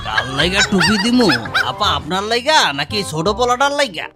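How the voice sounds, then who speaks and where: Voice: mid-range voice, very animated, fairly quick, some chatter behind. A performer voicing a grumbling, dismissive grown man in a comedy cartoon, speaking with a colloquial Bangladeshi accent. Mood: businesslike. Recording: loud music behind the voice. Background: loud music.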